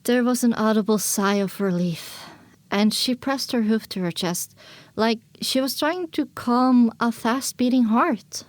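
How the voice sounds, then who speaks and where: A woman's voice: on the high side, unhurried, no echo. A woman narrating an audio drama in the first person, with a dramatic scripted delivery.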